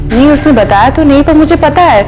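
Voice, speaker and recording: An actress playing an earnest young college student in a TV crime drama. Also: female voice, high voice, lively intonation, a little fast, low-quality recording. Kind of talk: TV crime serial acting. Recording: dramatic music behind the voice.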